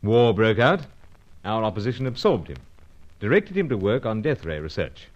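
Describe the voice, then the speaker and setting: Clipped British secret agent, lively, muffled recording. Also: male voice, on the low side, normal speed. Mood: firm, explanatory. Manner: clipped, deferential, old-school phrasing. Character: brisk, loyal British secret agent, male. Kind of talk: old-time radio drama acting. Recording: dull, band-limited old radio recording.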